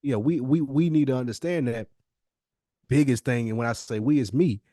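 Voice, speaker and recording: A man's voice: mid-range voice, moderate pace, mellow, no room sound, clean studio sound. A middle-aged American man talking casually and motivationally as a podcast co-host, emphatic and repeating phrases to drive points home.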